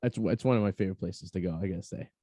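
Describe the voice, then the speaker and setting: A man's voice: sits low, very fast, mellow, no room sound, studio quality. A man hosting a podcast, chatting casually in a blunt, rambling way and often repeating his phrases. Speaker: podcast host. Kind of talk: casual chat.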